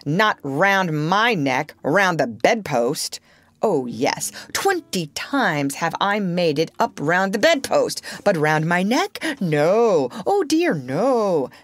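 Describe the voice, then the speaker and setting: A blustering Edwardian father from a storybook, pompous and quick to self-pity, as a woman reader puts him on. He swings from yelling to heavy sarcasm, his voice pitched high in his huffing. The sound is close and dry, with no room around it. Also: sing-song, dry recording. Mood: sarcastic. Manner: theatrical, sarcastic, yelling in exasperation.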